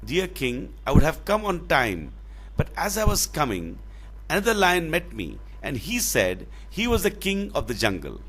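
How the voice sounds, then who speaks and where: A man's voice: a little high, huge pitch swings, moderate pace, fairly bright tone, slightly rough, voice pushed up, exaggerated voice. A man voicing a small, cunning rabbit in a storybook, respectful and pleading as he explains himself.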